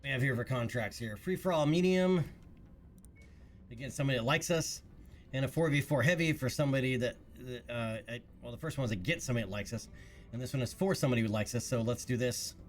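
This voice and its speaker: A man's voice: mid-range voice, fast. A man streaming a game, thinking aloud in casual chat while congested from a recent cold. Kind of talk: casual gameplay commentary.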